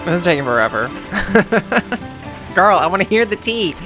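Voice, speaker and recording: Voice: mid-range voice, very animated, measured pace, music in the background. A host giving casual reaction commentary in a campy, sassy way, with slangy exclamations and repetition for emphasis. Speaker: host of a gaming reaction channel.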